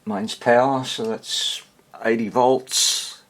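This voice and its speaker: A man giving a casual hands-on repair demonstration, muttering to himself and pausing between short phrases as he thinks aloud. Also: mid-range voice, little pitch movement, a bit bright. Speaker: hobbyist radio repairer presenting his workshop video.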